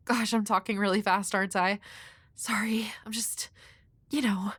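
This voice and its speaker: A woman playing a shy, flustered young neighbor in an intimate audio roleplay, speaking nervously and haltingly to the listener. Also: mid-range voice, fairly even intonation, fairly bright tone, slightly rough, no room sound. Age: young adult. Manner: nervous, halting, hesitant with stammers. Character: shy, flustered young woman next door, awkward and sweet.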